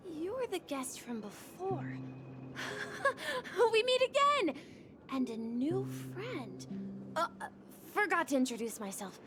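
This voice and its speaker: A woman voicing an eager, polite, innocent young bellboy in a video game, bashful and excitable in delivery. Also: very high voice, slow, noisy background, heavily acted. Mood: cheerful, bashful. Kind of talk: scripted video game dialogue.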